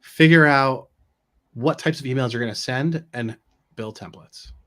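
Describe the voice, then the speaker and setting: A man's voice: mid-range voice, a little slow, fairly bright tone. Casual middle-aged man chatting informally. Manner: informal, peppered with rhetorical check-ins. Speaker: co-host of a business live stream. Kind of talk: casual conversational advice. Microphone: video call.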